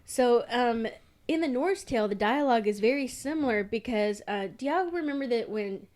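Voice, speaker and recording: A woman's voice: fairly high pitch, animated, moderate pace, darkish tone. A woman co-hosting a podcast, telling folk tales in a casual, irreverent, sweary way. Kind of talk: casual chat and informal storytelling.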